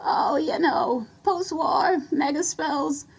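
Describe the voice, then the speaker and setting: A woman voicing a timid undead ghoul pony in an audio drama, with a harsh, raspy, hesitant delivery. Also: sits high, slow pace, rough recording. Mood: relieved, weary. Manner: stammering, hesitant. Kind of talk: audio drama character acting.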